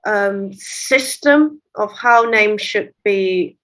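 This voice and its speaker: A woman translator whose first language is Cantonese, explaining her work at length in a relaxed interview, with light laughs. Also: mid-range voice, drawn-out words. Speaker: literary translator, guest. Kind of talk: conversational interview.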